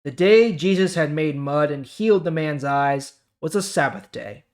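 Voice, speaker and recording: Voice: mid-range voice, expressive, normal speed. A host of a Bible reading series, mixing scripture read aloud with informal, explanatory asides to listeners.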